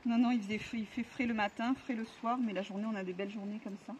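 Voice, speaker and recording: Woman chatting over clear background noise. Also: medium pitch, fairly even intonation, quick pace, darkish tone. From France. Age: 30s. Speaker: vlog interviewee, expatriate. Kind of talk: casual chat. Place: outdoors in a residential neighbourhood. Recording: noisy outdoor recording.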